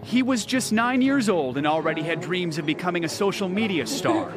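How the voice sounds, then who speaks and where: A man with a high-pitched voice narrates a scripted news report. Music plays clearly behind his reading. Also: lively intonation, a little fast.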